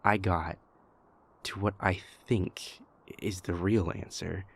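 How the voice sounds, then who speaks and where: A man performs a young vampire prince in an intimate audio drama. The voice sits fairly low and moves very slowly, with halting restarts and weighty pauses. He sounds sincere, troubled and exasperated, like someone venting to a partner. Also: steady tone, creaky, slightly rough, full character voice. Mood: exasperated, baffled. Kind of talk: intimate audio roleplay acting.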